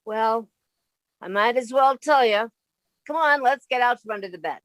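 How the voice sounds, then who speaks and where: Radio comedy straight woman , read by an actress: practical, long-suffering young roommate with a fairly high voice. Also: normal speed, fairly bright tone, lightly acted. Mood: agitated, confiding. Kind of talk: 1950s radio sitcom reading.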